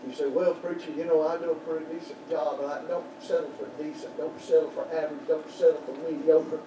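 Older pastor, fervent pulpit preacher, echoey sanctuary recording with room noise. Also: male voice, a little high, level tone, fast talker. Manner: fervent preaching with sudden shouted peaks, then quiet prayer. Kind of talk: sermon. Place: small church sanctuary. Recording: roomy church echo from far off, background noise.

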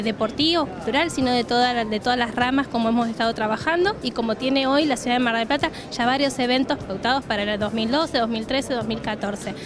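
A woman in her thirties with an Argentine accent, a city tourism official answering interview questions fluently at a trade fair stand, with many "eh" fillers, into a handheld mic. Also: on the high side. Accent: Argentine Rioplatense Spanish. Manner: fluent promotional listing, frequent "eh" fillers. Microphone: handheld reporter mic.

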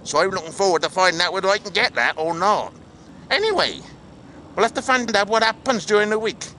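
A man's voice: high-pitched, animated, brisk, background noise. A man playing a chatty, hopeful Cardiff actor with a Welsh accent, telling of auditions in a vlog monologue. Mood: upbeat, chatty. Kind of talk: scripted-feel vlog monologue.

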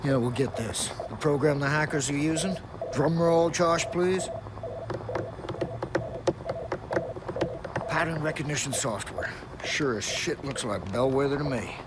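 A man's voice: a little high, normal speed, very noisy, natural voice. A man playing a foul-mouthed older hacker mentor in a video game, wry and boastful, with a dryly joking manner. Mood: dry, intrigued. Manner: sardonic, dryly joking, profane. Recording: music playing behind.

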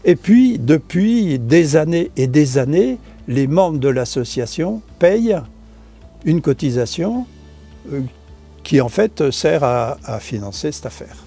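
A man's voice: a little high, very animated, takes it slowly, dark tone, faint music behind. An older man from France explaining the funding of a restoration in an outdoor interview, hesitant with many fillers and restarts. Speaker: association member. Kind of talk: interview answers, casual explanation. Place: outdoors on a stone chapel tower balcony.

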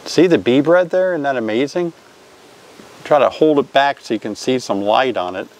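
A man's voice: medium pitch, normal speed, a little mellow, slight background noise. Chatty older man teaching beekeeping. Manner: chatty, asks viewers questions. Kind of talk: casual instructional vlog. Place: outdoors by a hive, then a covered porch.

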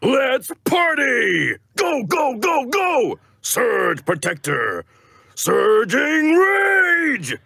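A man voicing a boisterous, hyped-up robot hero in raspy video game catchphrases. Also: very high voice, huge pitch swings, extremely slow, pushed and bright, no echo, shouting, clean studio sound, heavily acted. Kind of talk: video game character lines.

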